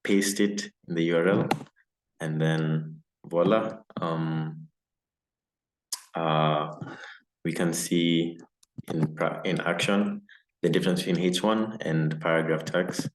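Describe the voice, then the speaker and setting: A man's voice: low voice, slow pace. A man teaching a casual live coding lesson on a video call, with lots of fillers. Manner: relaxed and casual, many fillers. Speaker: coding instructor. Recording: roomy echo, faint noise.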